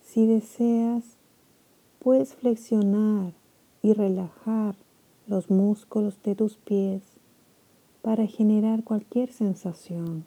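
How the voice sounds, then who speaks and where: A woman leads a body-scan meditation for sleep. Her voice is deeply mellow and warm, and she moves very slowly, letting phrases hang with long gentle pauses. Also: steady tone, low-quality recording. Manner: slow, soft, soothing with long pauses. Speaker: meditation guide.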